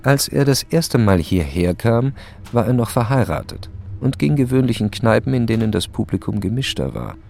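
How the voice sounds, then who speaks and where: A man's voice: medium pitch, very animated, moderate pace, vocal fry, a little mellow. A man narrating a radio play in terse, matter-of-fact fragments.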